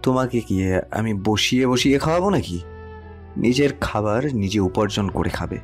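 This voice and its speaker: A man's voice: fairly low pitch, animated, moderate pace, close to an everyday voice. A man playing a cold, domineering rich man who bullies his mistress, speaking in curt commands in a romantic audio story. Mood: stern, commanding. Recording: music under the voice.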